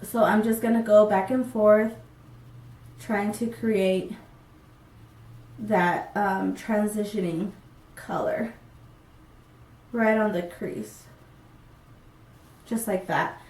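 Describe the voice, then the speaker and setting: A woman's voice: medium pitch, steady tone, slow pace, pauses often, darkish tone, distant mic. A young woman with a stuffy, congested voice from a cold, chatting casually through a makeup tutorial with many ums. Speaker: beauty vlogger. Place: small room, plain backdrop. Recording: roomy and off-mic.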